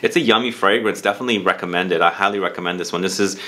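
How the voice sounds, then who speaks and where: Male video host, lower-pitched voice, chatty and enthusiastic product reviewer speaking informally to viewers. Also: racing, sharp tone. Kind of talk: casual review talk to camera.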